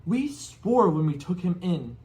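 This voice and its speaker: A man's voice: high-pitched, very animated, unhurried, a little mellow, voice pushed up. A man voicing an angry, pompous middle-aged uncle with a blustering, indignant manner in a storybook reading.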